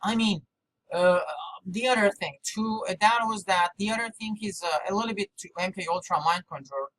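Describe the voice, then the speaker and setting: A non-native English speaker giving a rambling, unscripted monologue in a halting, fragmented and repetitive way, jumping from thought to thought. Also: mid-range voice, a bit bright. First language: not English. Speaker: video maker. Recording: clearly noisy background.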